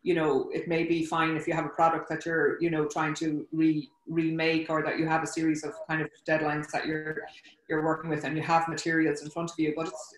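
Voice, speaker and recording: An Irish woman, a native Irish speaker, muses slowly about her work on a webinar panel. Her voice stays on a flat, even line. The sound comes over a video call from a roomy, echoey home. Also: deep voice, a little hiss or hum. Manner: reflective, many fillers. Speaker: panelist, artist. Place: home room.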